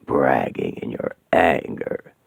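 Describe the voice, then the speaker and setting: A man voicing a cruel, wrathful male demon regent who taunts in a husky, menacing whisper. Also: very deep voice, sing-song, slow pace, no echo, exaggerated voice. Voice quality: gravelly, husky. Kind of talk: audio drama acting. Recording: dull lo-fi recording.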